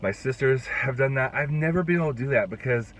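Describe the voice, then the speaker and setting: A man's voice: medium pitch, fast talker, a bit bright, slight background noise. A young adult man, a youth pastor, chatting casually to his phone camera while fighting a cold, rambling with restarts. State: congested, fighting a cold, voice rough. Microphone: phone held at arm's length.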